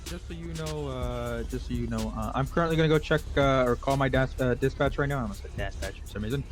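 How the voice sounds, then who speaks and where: An accommodating police supervisor from a roleplay game, acted by a man. He talks rapidly in an average-pitched voice, circling back over procedural explanations. Faint music plays behind him. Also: lightly acted. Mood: businesslike. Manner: hedging, repetitive, procedural explanations. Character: patient, accommodating male police supervisor on duty. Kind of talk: improvised in-character online roleplay dialogue.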